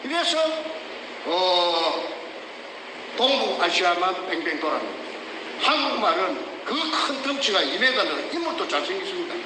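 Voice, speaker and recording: An older man with a Gyeongsang Korean accent gives heated, emphatic testimony, often shouting rhetorical questions. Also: sits high, very animated, moderate pace. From South Korea. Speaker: whistleblower. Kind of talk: emotional testimony. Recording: echoey distant room with noise.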